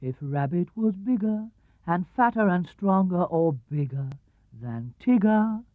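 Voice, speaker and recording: A man voicing a gentle, slow-witted, humble bear in a children's storybook, simple and mild. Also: sits high, no room sound. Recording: muffled 1970s cassette-era recording.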